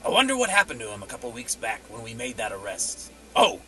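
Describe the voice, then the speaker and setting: A man's voice: medium pitch, wildly swooping pitch, quick pace, bright, forceful tone. An actor playing a chatty, puzzled lawman in an old-time radio drama. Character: chatty, puzzled lawman gossiping about a case. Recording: music bed behind dialogue.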